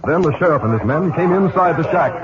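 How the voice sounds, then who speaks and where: A man's voice: a little high, animated, fast talker. A man narrating an adventure drama in scripted 1950s radio style. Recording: muffled, very noisy old radio broadcast.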